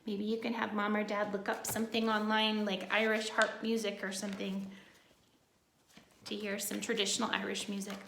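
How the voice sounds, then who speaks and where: A woman's voice: mid-range voice, vocal fry, a bit bright, roomy sound, slight background noise. A woman teacher reading a picture book aloud to young children, adding simple, encouraging asides as she goes.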